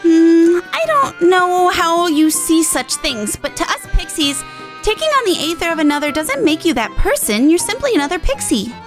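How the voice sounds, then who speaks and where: A woman's voice: very high-pitched, very animated, moderate pace, low-quality recording. A woman voicing a whimsical, sentimental little pixie from a video game, chatty and given to musing aloud. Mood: earnest, musing. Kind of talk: acted reading of video game dialogue. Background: game music.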